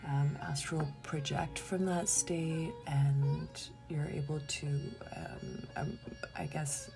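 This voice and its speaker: A woman hosting a solo podcast in a casual, confessional way, rambling candidly and giggly, often trailing off into breathy murmurs. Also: low-pitched, fairly even intonation, takes it slowly, over music. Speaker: podcast host.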